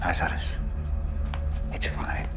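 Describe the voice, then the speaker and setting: An actor playing Jesus as a gentle, familiar man and friend in a screen drama. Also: takes it slowly, in a whisper, music in the background, close to an everyday voice. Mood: calm reassurance. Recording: film clip with faint noise, clicks.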